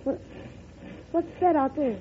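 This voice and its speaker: A woman's voice: high voice, slow pace. A fretful, devoted woman secretary in old radio drama. She speaks properly and earnestly. The sound is thin and phone-like, with light background noise. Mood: anxious. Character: anxious, loyal female presidential secretary. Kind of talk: vintage radio drama acting.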